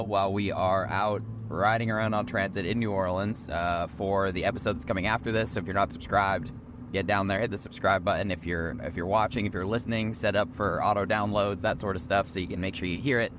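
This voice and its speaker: Young male co-host, low voice, hoarse and tired, loose rambling chat, car road noise underneath. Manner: rambling, self-correcting, chatty. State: hoarse, short on sleep. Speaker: podcast co-host. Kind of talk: casual chat on a road trip. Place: moving car.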